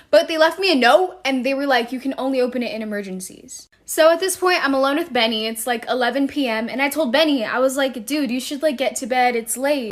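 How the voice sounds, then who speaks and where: A young woman telling a casual, colloquial story and quoting the dialogue as she goes. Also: high voice. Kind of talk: casual social-media storytime.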